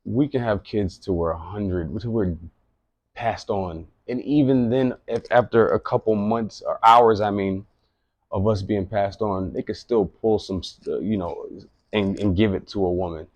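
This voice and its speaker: A man's voice: fairly low pitch, measured pace, dry recording. A man in his late thirties giving an earnest, rambling personal monologue, often repeating himself. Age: late 30s. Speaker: vlogger.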